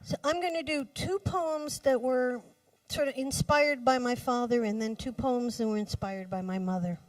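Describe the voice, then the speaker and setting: Woman, live poetry reading, higher-pitched voice, unhurried and deliberate, slightly distant microphone, little echo. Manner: measured, deliberate phrasing with pauses. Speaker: poet reading own poems. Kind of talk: live poetry reading with brief spoken introductions.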